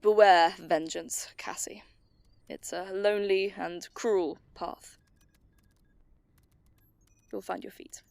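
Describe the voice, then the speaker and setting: A woman's voice: lowish voice, fairly even intonation, gravelly, darkish tone, no room sound. An actress playing a stern, seasoned woman commander in an audio drama. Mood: gentle. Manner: stern, measured.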